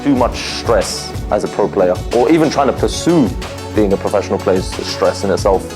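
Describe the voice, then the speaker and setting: Casting note: young streamer, low voice, candid and animated, music underneath. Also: male voice, a little fast. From Lebanon. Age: young adult. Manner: animated, candid, lots of fillers. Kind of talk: personal interview storytelling.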